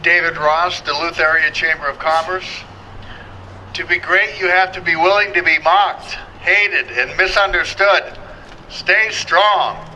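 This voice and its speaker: A man giving a measured reading of a quotation as a community reader. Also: fairly high pitch, bright, forceful tone, a little hiss or hum, poor audio.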